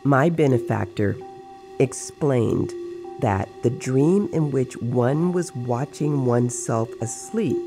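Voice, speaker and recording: A narrator reads a dramatized story at an unhurried pace, pausing deliberately between phrases. The voice is mid-pitched with a noticeable gravelly creak. Music plays clearly underneath. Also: expressive.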